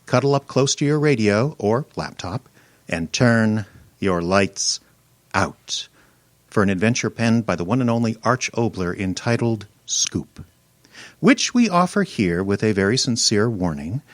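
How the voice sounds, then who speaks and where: A man hosting live radio theater, giving a scripted, theatrical introduction with measured delivery and dramatic pauses. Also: fairly low pitch, lively intonation, no room sound. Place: room in a home.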